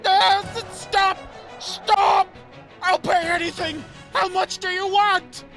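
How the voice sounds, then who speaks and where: A dishonest, money-hungry museum boss in an audio manga. The voice is extremely high and frantic, full of panicky protest, with prominent music underneath. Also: male voice, little pitch movement, takes it slowly, heavily acted. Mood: terrified. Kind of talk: melodramatic audio manga acting. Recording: voice over prominent dramatic music.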